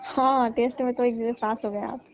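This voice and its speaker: A woman plays a flirtatious middle-aged mother-in-law, coaxing and sly, her voice sitting fairly high. She keeps sinking toward hushed, whispery tones. It comes through a dull, lo-fi phone call recording. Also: fairly even intonation, a little fast, close to an everyday voice. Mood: teasing. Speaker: comedy call actor. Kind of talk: staged comic phone call.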